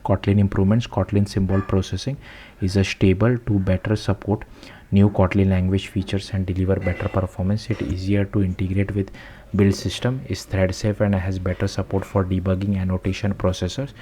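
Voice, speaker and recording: A man's voice: low-pitched, level tone, a little fast, warm tone, slight background noise. A man reading tech news aloud in the manner of a channel host narrating a written article.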